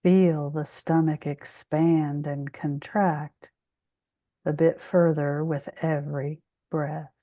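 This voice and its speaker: Slow, low-pitched woman guiding meditation. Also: flat delivery, dark tone, dry recording, clean studio sound. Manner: soothing, measured, with long pauses. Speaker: meditation guide. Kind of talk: guided meditation.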